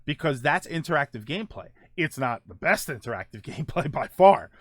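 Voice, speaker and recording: A man's voice: on the high side, big pitch swings, fairly quick, fairly bright tone, dry recording, studio quality. A man hosting a gaming show, chatting casually with viewers and thinking aloud in a rambling, conversational way. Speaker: host of a gaming show, streamer. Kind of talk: casual chat answering live viewer comments.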